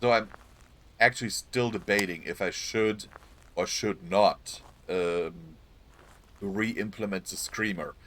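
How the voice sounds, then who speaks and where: A man streaming a game live, hoarse and losing his voice, chatting apologetically to his viewers. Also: lowish voice, slow, fairly bright tone, slight background noise. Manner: apologetic, chatty, talks to chat. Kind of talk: live gameplay commentary.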